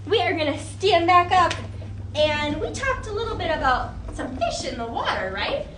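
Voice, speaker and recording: A woman's voice: extremely high pitch, very animated, moderate pace, noisy background. A woman leading a children's storytime, reading a picture book expressively and putting questions to young listeners.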